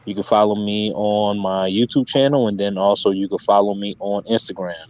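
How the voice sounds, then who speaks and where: Male guest, low voice, halting and filler-heavy, casual call-in explanation. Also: fairly even intonation, a little mellow, poor audio. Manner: hesitant, many fillers and restarts. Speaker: guest content creator. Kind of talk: casual interview talk.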